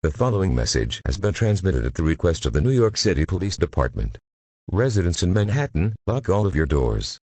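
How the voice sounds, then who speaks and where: Low, husky male emergency announcer. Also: big pitch swings, a little fast, mellow, no echo. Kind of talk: formal scripted emergency alert.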